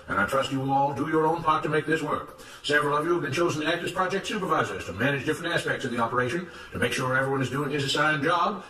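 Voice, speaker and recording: A self-righteous plantation overseer from a storybook, acted out by a male narrator, declaims emphatic speeches. The voice is raised high and bright, with an orator's force. It sounds slightly distant in a roomy space. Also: slight background noise.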